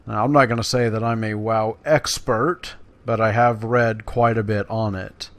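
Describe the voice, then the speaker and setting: A man's voice: on the low side, steady tone, moderate pace, dry recording. A man giving casual gameplay commentary in a self-deprecating, wry manner. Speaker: let's play host. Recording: clearly noisy, game sounds behind voice. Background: video game battle sounds.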